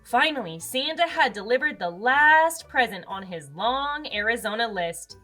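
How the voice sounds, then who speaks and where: A woman with a fairly high, very lively voice narrates a children's storybook. She takes her time and leans on words for dramatic effect, with music underneath. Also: a little slow. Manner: expressive, dramatic emphasis. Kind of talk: animated read-aloud narration for children.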